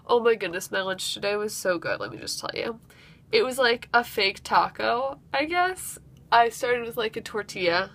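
A woman's voice: medium pitch, pushed and bright, no echo. A young woman vlogging casually to the camera from her bedroom at home, chatty and upbeat, with frequent fillers. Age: young adult. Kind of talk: casual vlog chat to camera. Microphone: handheld camera or webcam at arm's length.

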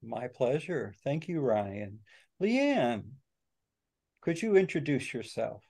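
Slow, mellow-voiced man hosting a podcast. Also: medium pitch, very animated, creaky. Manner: easygoing, joking, with restarts. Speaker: podcast host. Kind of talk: casual panel discussion. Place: home office, video call. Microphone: webcam or computer mic on video call.

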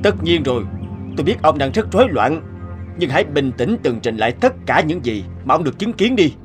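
A man's voice: fairly high pitch, sing-song, a little fast, soft background music. A man playing a composed, methodical police investigator in a cartoon, speaking in a formal, official manner. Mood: calm, official. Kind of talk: cartoon dub acting.

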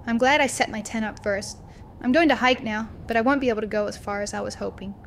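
A woman's voice: a little high, fast talker, vocal fry, slight background noise. A woman playing a young student confiding a horror story to her video diary in a dramatic way. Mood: casual, tired. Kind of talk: first-person horror audio diary.

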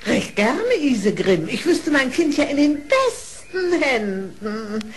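A woman's voice: high voice, very animated, takes it slowly, slight background noise, heavily acted. An actress playing a wily, coaxing mother horse in a radio play, in a theatrical manner. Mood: sly, polite.

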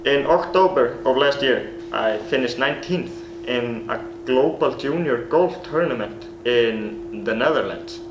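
A man's voice: medium pitch, expressive, measured pace, hardly any pauses, reverberant, background noise. A young man, reading a rehearsed self-introduction to college golf coaches in a small plain room. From Iceland. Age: late teens. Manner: earnest, rehearsed delivery. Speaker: golf recruit.

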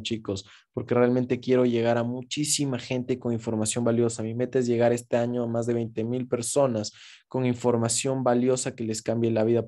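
Mellow-voiced man explaining trades. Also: mid-range voice. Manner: casual, explanatory, frequent "¿ok?" check-ins. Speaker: forex trading instructor. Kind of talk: screen-share trading lesson.

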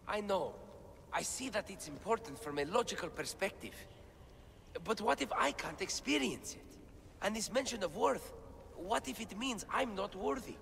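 A man's voice: sits high, lively intonation, normal speed, fairly bright tone, exaggerated voice. A man playing an anxious, self-doubting scholar in a video game cutscene, speaking in a fretful, plaintive and emphatic way. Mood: troubled. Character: anxious, self-doubting male scholar translating ancient relics. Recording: long cavernous reverb, clear background noise.

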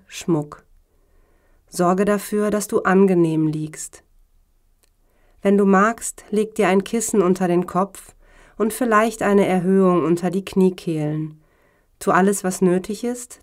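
Female meditation guide, slightly low voice, very slow delivery, calm and measured yoga instruction. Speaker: yoga teacher guiding relaxation.

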